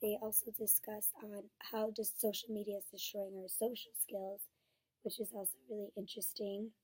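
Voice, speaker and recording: Hoarse young woman with a mellow voice. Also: mid-range voice, little pitch movement, moderate pace, low-quality recording. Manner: earnest, with fillers and restarts. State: hoarse from being sick. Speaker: student. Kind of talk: class presentation on a research paper.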